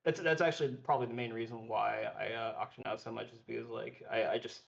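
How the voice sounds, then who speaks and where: A man's voice: mid-range voice, fairly even intonation, fast, creaky. A man chatting casually as a guest on a gaming podcast, over slightly dull audio.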